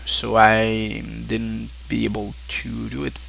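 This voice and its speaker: A man from Quebec whose first language is French, speaking English with a Québécois French accent, talking casually to his viewers in a halting way with many fillers and restarts. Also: fairly low pitch, completely flat delivery, creaky, a bit bright. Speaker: streamer. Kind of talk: casual thank-you talk to viewers.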